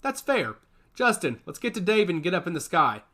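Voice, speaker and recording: A man's voice: sits high, sing-song, moderate pace, sharp tone, voice pushed up, full character voice. A man voicing a brash, cocky augmented fighter who talks with swagger and defiance. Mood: brisk. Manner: confident, defiant.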